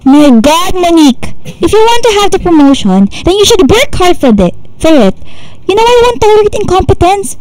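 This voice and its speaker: A woman's voice: very high voice, sing-song, moderate pace, a little mellow, no echo, low-quality recording. An actress playing a domineering, hot-tempered female office boss who scolds sharply in mixed Tagalog and English in a radio drama. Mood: angry, impatient.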